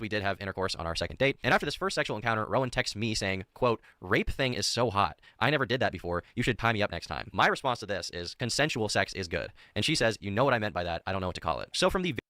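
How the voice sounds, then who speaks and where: A man narrates a scripted response video, reading out messages as he goes. He talks very fast and with a lively, bouncing pitch. His voice is bright and pushed forward, and the recording sounds dry and close. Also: no echo.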